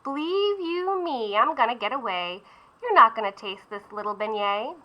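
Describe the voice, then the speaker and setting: A woman voicing a cheeky little pastry boy who giggles and sings taunts in a high voice. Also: wildly swooping pitch, a little slow, dull audio, exaggerated voice. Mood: singing. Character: cheeky boy made of pastry, playful and mischievous.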